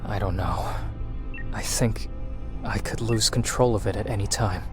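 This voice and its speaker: An actor playing an earnest, brooding young adventurer in a video game, speaking with dramatic intensity. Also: male voice, lowish voice, a little slow, over music, natural voice. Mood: grave. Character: earnest, brooding young male adventurer, hot-headed when provoked.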